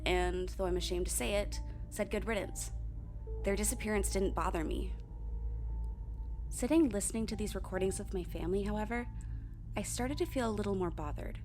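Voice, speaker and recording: A woman's voice: mid-range voice, a little mellow, lightly acted. An actress as a blunt, dry female officer. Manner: dry, curt, sardonic. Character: blunt, no-nonsense female coast guard officer narrating her investigation. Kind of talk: radio drama first-person narration.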